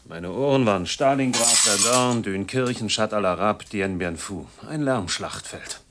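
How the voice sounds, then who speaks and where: An actor playing an embittered, noise-obsessed man in his forties, sardonic and intense, telling his story in a husky voice in a radio drama monologue. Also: on the low side, unhurried, lightly acted. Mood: obsessive, grim. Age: 40s. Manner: sardonic, intense, with clipped fragments.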